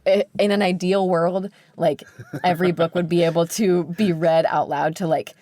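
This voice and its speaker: A woman's voice: on the low side, steady tone, normal speed, warm tone, no echo. A woman speaking as an interview guest, explaining things casually in very informal, conversational language. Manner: informal, peppering speech with "like". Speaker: interview guest, book editor.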